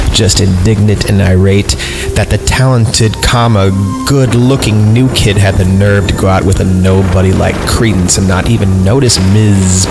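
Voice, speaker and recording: A man with a fairly deep voice hosts a horror podcast, reading stories aloud. He slips into casual, sarcastic asides in an irreverent way. Music plays clearly behind him. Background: background music.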